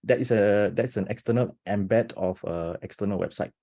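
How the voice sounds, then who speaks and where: A man presenting as a teacher on a video call webinar, talking with energy and many restarts, often checking in with "right?". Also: lowish voice. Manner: animated, talks fast with many restarts and rhetorical "right?". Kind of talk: enthusiastic webinar talk.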